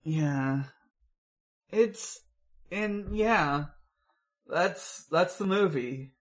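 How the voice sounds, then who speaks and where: A podcast host in casual film review chat, with a halting, rambling delivery. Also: medium pitch.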